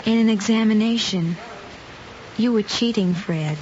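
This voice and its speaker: A woman's voice: mid-range voice, measured pace, a little mellow, close to an everyday voice. A young woman playing an honest, earnest schoolgirl in a mid-century screen drama. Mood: earnest, confronting. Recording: muffled old film soundtrack, faint noise.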